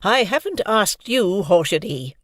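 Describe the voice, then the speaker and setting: A narrator voicing a stern middle-aged deputy headmistress who scolds in a sharp, commanding tone. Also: mid-range voice, big pitch swings, a little slow, dry recording, clean studio sound, voice pushed up. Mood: stern scolding.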